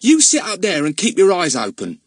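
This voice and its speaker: A man's voice: huge pitch swings, normal speed, breathy edge, no room sound, exaggerated voice. A man voicing a nervous, jumpy young boy. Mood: bossy. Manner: startled, high, jumpy.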